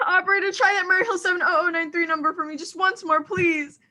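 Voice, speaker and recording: An actress playing an anxious, demanding invalid wife in a radio thriller, with a fretful, breathlessly panicky delivery. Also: female voice, very high-pitched, fairly even intonation, quick pace, bright, forceful tone, heavily acted. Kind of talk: 1940s radio thriller acting.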